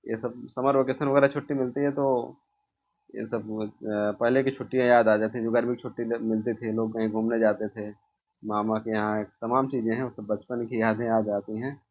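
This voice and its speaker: A man teaches in a medium-pitched voice. He goes through exam questions informally, posing each one and then explaining the answer himself. The recording is dull and lo-fi, with a muffled top end. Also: little pitch movement, moderate pace. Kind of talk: informal lesson walking through quiz questions.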